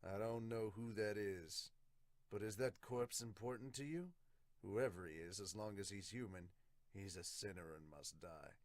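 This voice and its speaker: Vengeful elf played by a man, cold, solemn, menacing, slightly low, dry amateur manga dub. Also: moderate pace, no echo, natural voice. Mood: contemptuous. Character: vengeful cold male elf in a human body.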